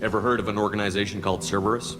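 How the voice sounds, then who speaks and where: A man playing a steady, principled military commander in a video game, speaking in a firm, plain, controlled way. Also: on the low side, very fast, close to an everyday voice. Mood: resolute. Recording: long reverb, music behind.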